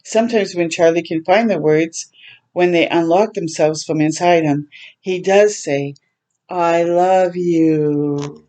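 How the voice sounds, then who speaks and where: A woman reads a picture book to children as a storytime host. Her voice sits a little low and has a very mellow, relaxed tone. She is gentle and expressive, adding tender asides to her listeners. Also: slow. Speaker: children's read-aloud host.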